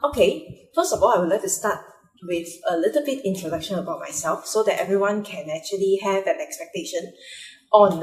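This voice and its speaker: Woman, Malaysian accent, Mandarin native, lowish voice, hesitant with fillers, roomy echo. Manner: hesitant, many fillers and restarts. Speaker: vlogger. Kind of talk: personal vlog. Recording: echoey room.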